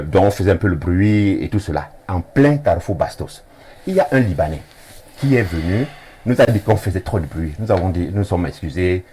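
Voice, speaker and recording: A middle-aged man, a professor arguing on a TV panel, insistent and halting, often restarting his sentences. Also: sits low, a little mellow. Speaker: professor and debate guest. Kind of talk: heated TV panel debate.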